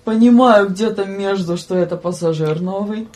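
Young woman swapping slangy, animated anecdotes. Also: mid-range voice, normal speed. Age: young adult. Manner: animated, slangy, giggly storytelling with acted-out quotes. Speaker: podcast guest, student train attendant. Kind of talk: casual chat, telling anecdotes.

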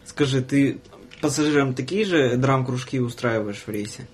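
A man's voice: mid-range voice, moderate pace, breathy edge. A man in his twenties hosting a casual podcast chat, playfully telling anecdotes and quoting the people in them. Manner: playful, quotes others in his stories. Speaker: podcast host, student train conductor. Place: podcast studio.

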